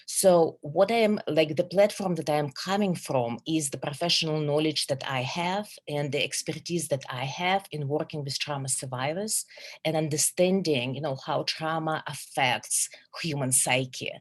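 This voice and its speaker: Low-voiced Russian woman presenting a webinar. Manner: earnest, measured, with many fillers and restarts.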